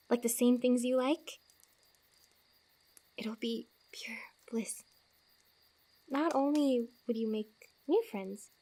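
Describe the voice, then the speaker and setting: Girl acting as a sweet wanderer lady in an asmr fantasy roleplay, higher soft voice, giggly n teasing, kinda rambles while comforting you. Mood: tender, comforting. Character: friendly, teasing, reassuring female wanderer in fantasy woods.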